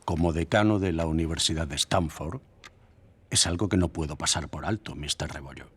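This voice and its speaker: An actor playing a stern, formal American university dean who speaks in a measured, grave way. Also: male voice, very deep voice, husky. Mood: grave, firm.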